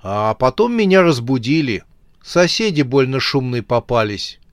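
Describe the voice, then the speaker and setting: A man's voice: medium pitch, sing-song, moderate pace, no room sound, studio quality, natural voice. A man voicing a male corpse in an audio story, chatting matter-of-factly as if on the phone, unbothered and a little complaining. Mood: tired, annoyed.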